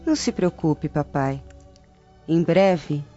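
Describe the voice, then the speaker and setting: A woman playing a brisk, dismissive Roman daughter. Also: low voice, lively intonation, warm tone, exaggerated voice. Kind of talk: radio drama acting. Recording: muffled audio with background music.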